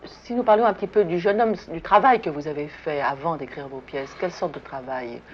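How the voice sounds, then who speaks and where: Woman interviewer, lower voice, calm probing questions, dull old broadcast tape. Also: brisk. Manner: composed, probing follow-up questions. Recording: dull archival broadcast audio with faint hiss.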